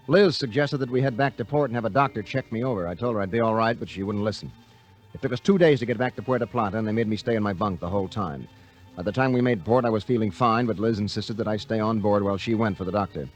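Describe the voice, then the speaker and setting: A man's voice: lowish voice, natural voice. Man acting as hard-boiled adventurer, American accent, clipped delivery, vintage radio drama, faint music behind. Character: terse, determined treasure-diving adventurer man. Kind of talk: 1950s radio acting and first-person narration.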